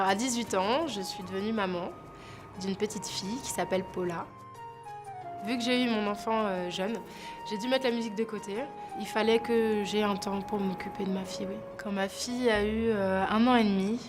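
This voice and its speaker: A young woman in her twenties from France, speaking softly and with hesitations as a talent show contestant in an interview. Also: mid-range voice. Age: 20s. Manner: soft-spoken, reserved, many hesitations. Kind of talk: interview to camera.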